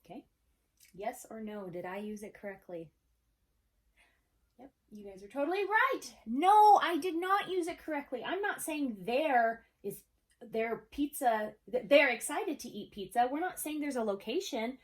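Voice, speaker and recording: A woman's voice: sits high, big pitch swings, normal speed. A woman teaching a third-grade class in a recorded lesson, casual and encouraging, checking in with her pupils as if they could answer. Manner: casual, checks in often with okay. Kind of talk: recorded video lesson for children.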